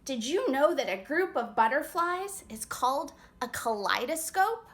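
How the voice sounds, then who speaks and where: Woman voicing a bright, chatty butterfly. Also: high-pitched, very animated, measured pace, exaggerated voice. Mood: proud, chatty. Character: chatty female butterfly, proud sharer of facts. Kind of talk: picture book read-aloud.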